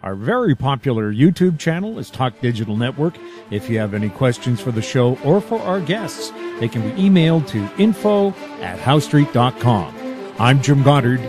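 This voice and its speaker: A man's voice: medium pitch, sing-song, moderate pace, over music. A man hosting a radio interview in a polished broadcast style. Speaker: radio show host, interviewer.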